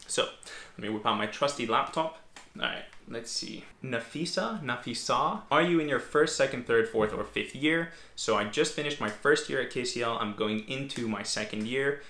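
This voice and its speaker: A man's voice: medium pitch, bright, forceful tone. A young man in his twenties, a medical student, chatting casually through viewer questions at a desk in a small room. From Greece. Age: 20s. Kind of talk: casual Q&A vlog. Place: small bedroom home office, indoors. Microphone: fixed camera at desk. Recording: echoey room.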